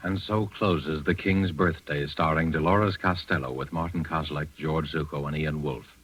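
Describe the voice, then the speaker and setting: Deep-voiced radio announcer, man. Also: steady tone. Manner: measured, formal, dramatic. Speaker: announcer and narrator. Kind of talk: 1940s radio announcing, scripted. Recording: muffled old radio under loud music.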